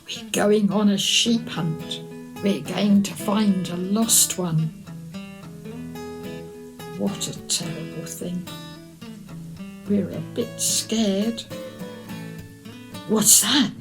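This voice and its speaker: A woman reads to young children at a very unhurried pace. Her delivery is expressive and deliberate, with a sing-song storyteller's lilt. Music plays clearly along with her voice. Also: mid-range voice. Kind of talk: animated chanted story reading for young children.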